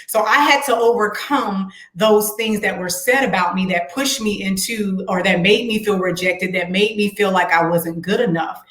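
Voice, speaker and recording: A woman's voice: medium pitch, normal speed, few pauses, a bit bright, reverberant. A woman singer being interviewed, telling her story in an earnest, reflective way with faith-filled words. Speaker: singer and guest. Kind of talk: casual interview chat.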